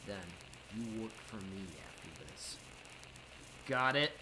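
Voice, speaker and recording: Guy doing a low quiet threatening crook, old radio drama, clipped, noisy background. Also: animated, slow, exaggerated voice. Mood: cool, threatening. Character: cool, menacing double-crossing tough who makes deals.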